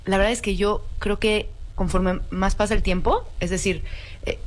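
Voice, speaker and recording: A woman's voice: medium pitch, steady tone, moderate pace. Woman co-host, playful and candid, faint background noise. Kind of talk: radio talk show discussion.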